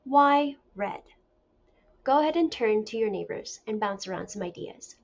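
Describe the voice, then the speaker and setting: High-voiced woman teacher reading aloud. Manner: deliberate, expressive storytelling. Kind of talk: expressive picture-book read-aloud lesson. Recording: dull lo-fi recording.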